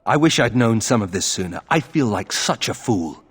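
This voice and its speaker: An actor playing a brooding young nobleman in a video game, husky and earnest, with a subdued delivery. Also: lowish voice, animated, normal speed. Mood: troubled. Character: brooding young nobleman troubled by his family's disgrace.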